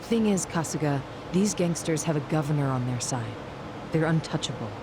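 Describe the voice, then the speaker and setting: A woman's voice: low voice, expressive, fairly quick. An actress playing a cool, tough, pragmatic gang boss in a video game, curt and guarded, with noise behind her. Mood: cool, analytical. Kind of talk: English video game voice acting.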